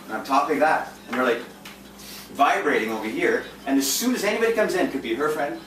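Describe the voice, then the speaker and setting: Fast-talking intense man in an echoey room. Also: mid-range voice, lively intonation, a bit bright. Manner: intense, direct, lots of restarts. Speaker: dating coach. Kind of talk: casual group chat. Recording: echoey room from a distance, faint noise.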